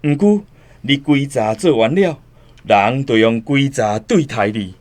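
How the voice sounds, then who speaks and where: A man's voice: mid-range voice, lively intonation, unhurried, darkish tone, close to an everyday voice. Man acting as pious preacherly Taiwanese pastor. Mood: solemn, admonishing. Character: welcoming pious Taiwanese church pastor, reciting scripture and praying. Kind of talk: radio drama acting.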